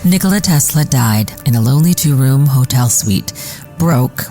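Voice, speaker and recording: Female radio theater host, very lively and fairly low-pitched, scripted dramatic narration, faint music. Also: moderate pace.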